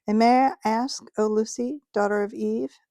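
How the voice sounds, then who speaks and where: A woman's voice: on the high side, steady tone, moderate pace, warm tone, dry recording, studio quality, lightly acted. A woman reading aloud and voicing a polite, nervous male faun who talks eagerly and repeats himself.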